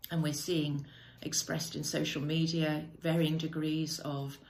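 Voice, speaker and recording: Woman, low voice, middle-aged, measured and reflective, slight background hiss. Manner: measured, thoughtful, with frequent "uh" and self-corrections. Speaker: podcast host. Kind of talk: reflective spoken monologue.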